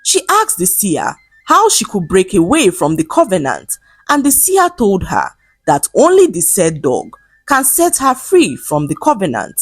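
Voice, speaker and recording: A woman reading a scripted folktale as a storyteller, in a dramatic and expressive manner. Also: mid-range voice, measured pace, breathy edge, dry recording, faint music behind. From Nigeria.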